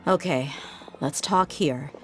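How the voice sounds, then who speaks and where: A woman's voice: low voice, takes it slowly, fairly bright tone. A woman playing a tough, easygoing female desert mercenary in a video game, casual and colloquial, loyal and protective. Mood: resigned, serious. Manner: casual, colloquial, teasingly deferential. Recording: game music behind.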